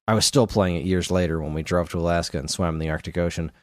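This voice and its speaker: Podcast co-host: American man, deep voice, casual enthusiastic chat, very dry sound. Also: steady tone, quick pace, no room sound. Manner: enthusiastic, informal slang.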